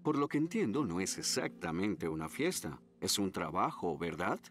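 A man voicing a reasonable middle-aged father in a children's cartoon, reassuring and measured. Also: low-pitched, sing-song. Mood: calm, reasoning. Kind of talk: dubbed children's cartoon acting. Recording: light music behind the voice.

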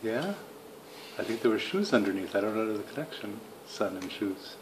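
A man chatting casually as a father with a toddler, gently prompting with questions. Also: on the low side, lively intonation, moderate pace. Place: tiled living room at home. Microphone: handheld phone, behind the camera. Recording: noisy home phone video.

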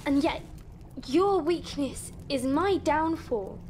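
A woman's voice: high-pitched, slow, darkish tone, slight background noise. A woman playing a bitter, sarcastic young woman in an audio drama, sardonic and reproachful.

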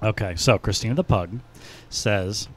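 A man's voice: lowish voice, measured pace. A man speaking casually as a podcast co-host into a studio microphone. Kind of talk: casual podcast chat, reading out reviews. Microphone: podcast microphone in a small studio.

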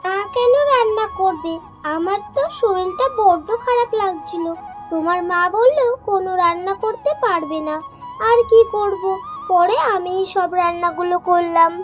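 A woman's voice: very high-pitched, normal speed. A woman voicing a scheming, spiteful young daughter-in-law in a cartoon folk tale. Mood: defensive, complaining. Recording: music behind the voice.

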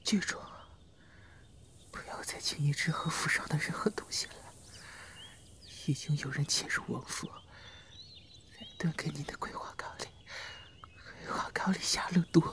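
An actor playing a frail, devoted young male servant dying of poison in an audio drama, gasping and tearful in a breathy whisper. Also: a little high, moderate pace, pauses often, close to an everyday voice. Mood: weak, devoted. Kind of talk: Chinese historical audio drama acting.

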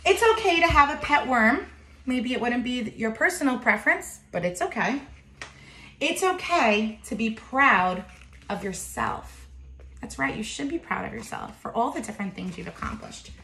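A woman's voice: fairly high pitch, sing-song, normal speed, bright, forceful tone, a little hiss or hum. A woman in her thirties reading a picture book aloud to young children, expressively, with simple explanatory asides. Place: home kitchen, indoors. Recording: roomy, echoey home kitchen.

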